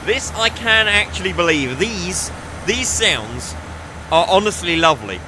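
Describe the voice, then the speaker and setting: A man's voice: high-pitched, big pitch swings, a little slow, background noise. A young man giving chatty, enthusiastic commentary while playing a train simulator, often restarting his sentences. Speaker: gaming video creator. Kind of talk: casual gameplay commentary.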